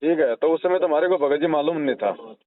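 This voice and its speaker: A man's voice: fairly high pitch, brisk, no echo. A man giving informal religious counsel over the phone, explaining and admonishing, often checking with short questioning tags. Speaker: spiritual adviser taking a recorded call. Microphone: telephone. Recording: tinny phone call recording.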